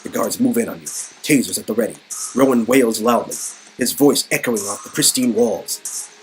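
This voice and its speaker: A man's voice: medium pitch, normal speed, slight background noise, poor audio. A man reading dramatic scripted narration from an interactive story game. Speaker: narrator and co-reader.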